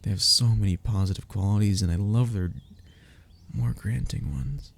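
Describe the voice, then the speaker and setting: Man acting a low, husky, lovestruck young best friend. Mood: tender, lovestruck. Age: young adult. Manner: halting, sighing, confessional. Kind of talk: intimate scripted audio roleplay.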